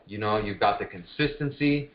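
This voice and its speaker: A man's voice: fairly low pitch, normal speed. A man speaking as a fitness coach hosting a group call, chatting casually in an informal, encouraging way over lo-fi call audio. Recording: dull, lo-fi conference call audio. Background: no music, voice on a group phone call.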